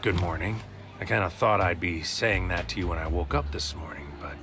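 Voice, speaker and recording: A man's voice: deep voice, brisk, music in the background. An actor playing an anxious, brooding agent who tells his own story in a noir audio drama, in terse poetic fragments. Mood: subdued, gentle. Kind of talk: first-person noir audio drama narration.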